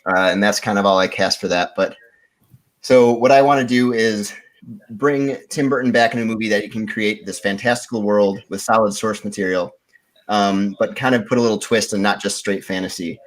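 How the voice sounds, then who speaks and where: A man with a fairly low voice talks casually as a podcast contestant. He lays out his pitch in an informal, conversational way. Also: moderate pace, low-quality recording.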